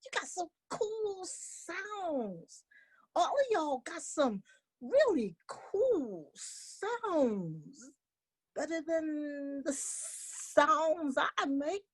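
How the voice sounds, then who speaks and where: A woman's voice: sits high, big pitch swings, very slow, pitched above the performer's own voice, heavily acted. A woman voicing a laid-back, easily delighted male snake in an oral fable, with a cool drawl full of wonder. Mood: touched wonder.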